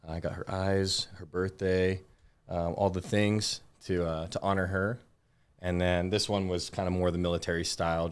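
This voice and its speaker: A man with an American accent and a distinctly low voice. He talks informally and politely as a guest in a relaxed podcast conversation. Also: clean tone. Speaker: podcast guest, Marine veteran. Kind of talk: casual interview chat.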